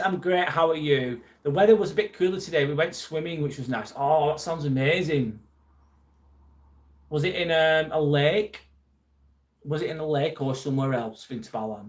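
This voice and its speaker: Chatty English man, a bit high. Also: moderate pace. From England. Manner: chatty and jokey, mildly irritated by a troll. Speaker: live stream host. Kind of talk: casual live chat reading out comments.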